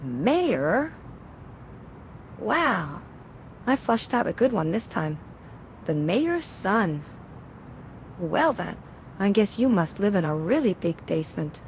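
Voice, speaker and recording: A woman voicing a cocky, teasing fox-costumed vigilante in an animated series, with sarcastic quips. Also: rough recording. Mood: mocking. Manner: sarcastic, unhurried quipping. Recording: clear low rumbling background noise.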